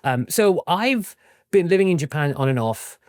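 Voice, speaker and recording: A man's voice: a little high, expressive, normal speed, a little mellow, dry recording, clean studio sound. A man in his forties from England, explaining things conversationally as an interview guest, enthusiastic and often seeking agreement. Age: 40s. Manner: enthusiastic, often checks with "right?". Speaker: interview guest, researcher and author.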